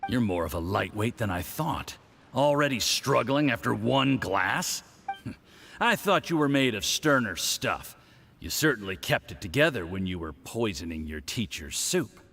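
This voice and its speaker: An actor playing a smug, scheming foreign diplomat with a patronizing, mocking manner. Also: male voice, mid-range voice, very animated, a little slow, creaky, sharp tone, a little hiss or hum, heavily acted.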